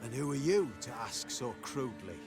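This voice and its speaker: Pompous, animated pious commander. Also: male voice, medium pitch, normal speed, over music. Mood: haughty. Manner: haughty, archaic, formal phrasing.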